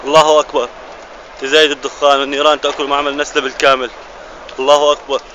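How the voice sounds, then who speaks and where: Man narrating in flat, solemn short phrases. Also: a little high, racing, dull audio. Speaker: amateur cameraman, eyewitness. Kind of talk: eyewitness narration of filmed footage. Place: outdoors in an open field near a large fire. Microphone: handheld phone camera. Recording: noisy outdoor phone recording.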